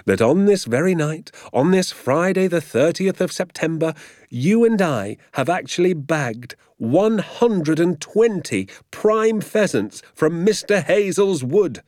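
Man playing an exuberant, boastful father, swooping pitch. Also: big pitch swings, a little slow, darkish tone, no echo, studio quality. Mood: exultant. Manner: grandly emphatic, stretches words with relish.

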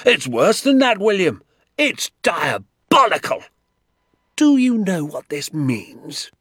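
A man voicing an excitable little old country doctor in an audiobook, kindly and indignant, with emphatic, drawn-out, theatrical delivery. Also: medium pitch, husky, no echo, clean studio sound, pitched above the performer's own voice, full character voice. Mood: outraged. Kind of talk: acted audiobook dialogue.

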